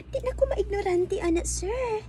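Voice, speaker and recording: High-pitched, giggly, naive young nurse. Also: female voice, big pitch swings, a crowd in the background, full character voice. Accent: Cebuano Filipino. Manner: coy, giggly, drawn-out exclamations. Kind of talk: Bisaya comedy radio drama acting.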